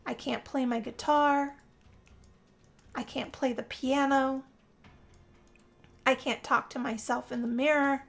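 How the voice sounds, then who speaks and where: A woman's voice: high voice, fairly even intonation, slow, vocal fry, long pauses, fairly bright tone, heavily acted. A woman voicing a moody, weary young woman in a first-person dramatic role-play, with a sighing, confessional delivery. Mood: weary, queasy. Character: young woman Yazmin, moody, weary, being turned vampire. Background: guitar music.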